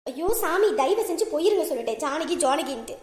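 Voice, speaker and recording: A very high, girlish young bride played by a woman, guilty and resentful, speaking. She is reproachful in the manner of a village family drama, with echo around the voice. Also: expressive, rapid-fire. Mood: angry reproach. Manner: tearful, reproachful. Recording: echoey room sound.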